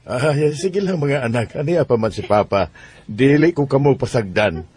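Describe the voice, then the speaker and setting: A man acting a devoted but hurt father set on ending his marriage, husky, with a Bisaya accent, in a radio drama. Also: mid-range voice, expressive, normal speed, darkish tone, lightly acted. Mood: tender. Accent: Bisaya Filipino.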